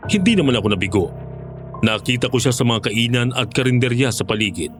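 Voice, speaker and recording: A man's voice: medium pitch, animated, fast talker, close to an everyday voice. A man playing a former monster hunter, narrating his mission in first person as a scripted horror story. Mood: recounting. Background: music.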